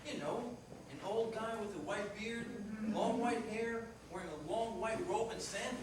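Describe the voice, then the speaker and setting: A man's voice: high-pitched, normal speed, lightly acted. A man playing a skeptical, easygoing, plainspoken cab driver in a live staged play reading. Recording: noisy, very echoey hall, distant mic.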